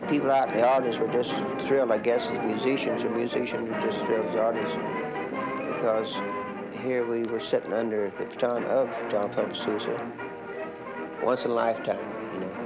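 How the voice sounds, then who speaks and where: An older man, a former band member, reminiscing in a rambling, halting way in casual talk. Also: mid-range voice, level tone, creaky, over loud music, poor audio.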